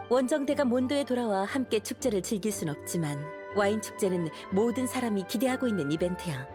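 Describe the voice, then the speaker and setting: A woman's voice: medium pitch, close to an everyday voice. A woman playing a dutiful young knight commander in an anime game, formal, earnest and authoritative. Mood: composed, authoritative.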